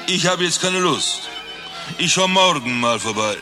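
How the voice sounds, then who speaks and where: An actor playing an eccentric doctor who claims to be Rasputin reborn, telling his tale in a deadpan, grandiose way in a satirical radio play. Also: fairly high pitch, measured pace, over music. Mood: brazen, reproachful. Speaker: doctor recounting his past life.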